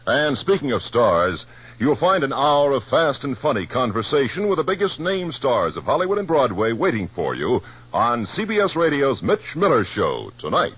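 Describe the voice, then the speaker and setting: An American man with a husky voice, reading scripted promos as a 1950s network radio announcer. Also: mid-range voice, big pitch swings, moderate pace, a bit bright, slight background noise. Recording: muffled vintage radio broadcast.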